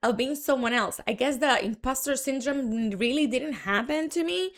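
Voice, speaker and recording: A woman's voice: sits high, normal speed. A young woman with a Dominican Spanish accent tells her story in a frank, chatty monologue, full of fillers and restarts. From Dominican Republic. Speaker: marketing entrepreneur.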